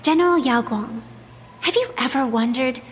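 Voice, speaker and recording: A woman playing an ancient, proud arbitrator of deadly games in a video game, speaking in a solemn, deliberate, poetic way over music. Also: high voice, slight background noise, close to an everyday voice. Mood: stern. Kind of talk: scripted video game voice acting, dramatic storytelling.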